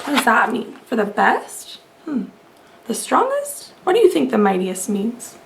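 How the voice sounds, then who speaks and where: A woman reading aloud to children as a storyteller, expressive and asking her listeners questions. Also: a little high, unhurried, gravelly, a little hiss or hum.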